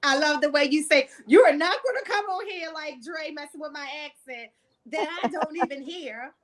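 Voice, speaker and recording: Woman, Baltimore accent, very high voice, podcast host, laughs a lot, reads out comments. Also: normal speed, over a noisy crowd. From Baltimore, USA. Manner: laughing often, reading viewer comments aloud. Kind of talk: casual live-stream chat.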